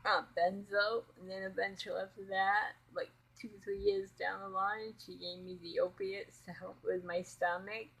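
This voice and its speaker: A middle-aged woman talks through an emotional personal confession, rambling and upset. Her voice is husky and breathy at an ordinary middle pitch. She keeps it hushed at home at night so she won't wake anyone. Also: little pitch movement, normal speed, hardly any pauses, rough recording. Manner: upset, rambling, keeping quiet so as not to wake others. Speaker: vlogger.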